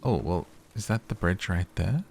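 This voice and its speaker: A man's voice: deep voice, animated, normal speed, creaky, slight background noise. A man casually commenting on a relaxing game as he plays, chatty and easygoing, speaking straight to his viewers. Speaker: gaming YouTuber. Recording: game music playing behind. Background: soft game music.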